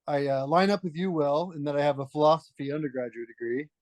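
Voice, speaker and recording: Male podcast guest, slightly high voice, halting and reflective, dead-dry recording. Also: fairly even intonation, darkish tone, no room sound. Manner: hesitant, restarts phrases often. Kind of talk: reflective conversational storytelling.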